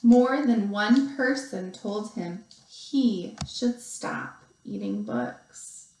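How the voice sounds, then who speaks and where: A woman teacher reading a picture book aloud to young children, expressively, with deliberate pauses and stretched emphasis. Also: medium pitch, warm tone, a little hiss or hum. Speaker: second grade teacher. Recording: roomy, echoey sound.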